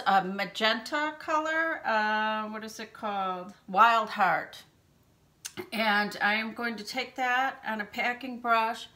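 A woman in her fifties hosting a makeup tutorial from a small room at home, explaining each step in a gentle, chatty way and clearing her throat now and then from allergies. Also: medium pitch. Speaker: beauty channel host.

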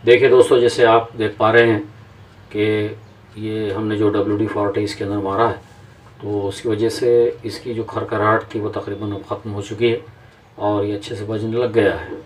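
A man's voice: lowish voice, little pitch movement, moderate pace, poor audio. A man giving an informal hands-on repair tutorial, explaining step by step to viewers in a home-made recording.

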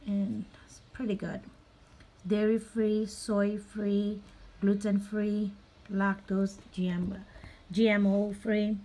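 Slow-talking woman showing off groceries. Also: mid-range voice, flat delivery, dark tone, rough recording. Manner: chatty, slow, many ums and pauses. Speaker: vlogger, mother. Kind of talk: casual grocery haul show-and-tell. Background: crinkling packaging and clicks.

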